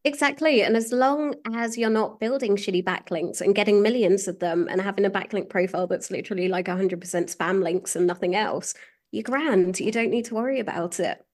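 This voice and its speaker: Woman, podcast guest, casual chat, informal, emphatic, mid-pitched voice, exclaiming. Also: brisk, a little mellow. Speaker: podcast guest, SEO professional.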